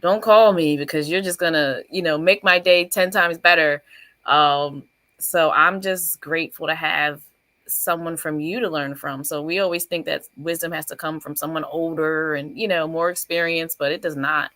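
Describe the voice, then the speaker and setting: A woman teacher appearing as a guest, speaking in a warm, encouraging way about faith. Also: sits low, steady tone, moderate pace, dry recording. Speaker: guest, first-grade teacher. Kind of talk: casual chat.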